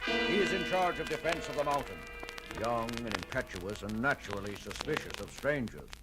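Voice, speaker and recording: An actor playing a solemn, wary guardian of a primitive mountain people in a 1970s audio adventure, stately and declamatory. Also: male voice, medium pitch, animated, normal speed, close to an everyday voice, low-quality recording. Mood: urgent, grave. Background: dramatic music.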